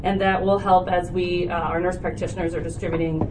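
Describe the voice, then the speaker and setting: A woman's voice: lowish voice, level tone, brisk. Woman presenting a budget at a meeting, far from the mic. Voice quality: loud voice. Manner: explanatory, organized, with frequent "so" and "uh". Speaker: health department official presenting to committee. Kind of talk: formal public meeting presentation. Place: county board meeting room. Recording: distant, noisy room recording.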